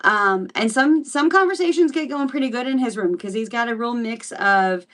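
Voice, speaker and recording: A woman's voice: fairly high pitch, lively intonation, normal speed, fairly bright tone, distant mic. A woman hosting a casual livestream Bible study, chatty and rambling, greeting viewers by name as she teaches. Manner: chatty and rambling, with frequent fillers and restarts.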